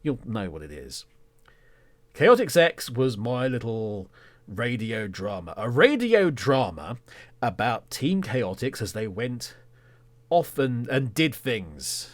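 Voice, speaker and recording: A man's voice: mid-range voice, sing-song, slow. A man hosting a podcast in casual solo talk, with a rambling, self-deprecating and mock-indignant humour. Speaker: podcast host.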